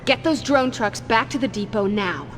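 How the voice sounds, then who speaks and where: Imperious high-voiced female crime boss played by an actress. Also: expressive, normal speed, long reverb tail, music in the background, lightly acted. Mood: furious. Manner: imperious, threatening, foul-mouthed. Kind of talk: video game villain acting.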